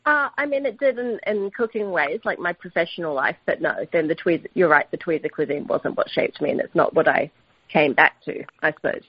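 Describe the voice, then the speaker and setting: Husky soft-spoken New woman. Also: on the low side, a little fast, dry recording. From New Zealand. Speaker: chef, interview guest. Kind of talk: casual interview answers. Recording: dull lo-fi radio sound, dry.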